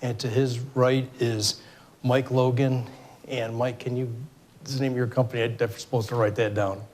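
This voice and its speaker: Middle-aged Michigan man, scratchy cold voice, mid pitch, informal town hall moderator, faint room noise. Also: little pitch movement, normal speed, darkish tone. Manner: informal, many fillers and restarts. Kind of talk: public meeting address. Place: city hall meeting room. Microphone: microphone for televised recording.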